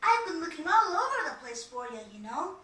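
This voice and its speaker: A woman's voice: very high voice, big pitch swings, brisk, pushed and bright, reverberant, far from the mic, full character voice. A woman voicing an eager, chatty, cheeky young boy in a video game, with a chirpy, informal delivery. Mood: eager, reassuring. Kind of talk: video game cutscene acting.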